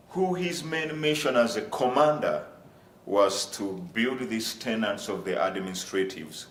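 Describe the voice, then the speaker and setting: A man from Uganda, giving a formal presentation on a university panel and addressing the audience as ladies and gentlemen. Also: low-pitched. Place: lecture room. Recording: echoey room with faint ambient noise.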